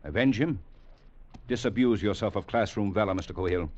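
An actor playing a stern, by-the-book cavalry captain in an old radio drama, clipped, formal and scornful. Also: male voice, lowish voice, brisk, darkish tone, lightly acted. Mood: cold, scornful. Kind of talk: 1940s-50s radio acting. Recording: muffled, noisy old radio recording.

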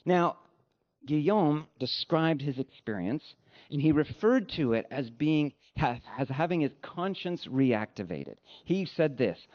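A man delivers a sermon in a somewhat high, strongly melodic voice. His phrasing is emphatic and measured, shaped for rhetorical effect as a preacher would. The recording sounds a little dull and lo-fi. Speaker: pastor preaching. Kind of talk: sermon with read-out quotations.

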